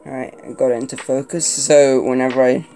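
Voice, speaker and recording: A man's voice: medium pitch, little pitch movement, brisk, mellow, a little hiss or hum. A young man from Colombia, talking casually while unboxing and reviewing a toy, rambling with lots of fillers and restarts. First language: not Spanish. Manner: chatty, hesitant, many fillers and restarts. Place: indoor room at a table. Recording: background guitar music. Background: guitar music.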